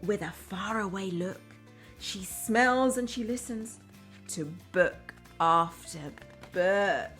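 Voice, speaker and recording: Female storyteller, primary-teacher style: unhurried, expressive read-aloud with simple asides for little kids, soft music underneath. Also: medium pitch. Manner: expressive, addresses young children simply.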